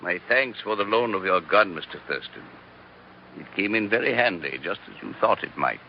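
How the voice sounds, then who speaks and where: An actor playing a coolly polite, sardonic gentleman in a formal, measured 1940s radio drama style. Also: deep voice, animated, gravelly. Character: coolly polite, sardonic older gentleman, long held captive. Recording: distant, muffled, noisy old radio.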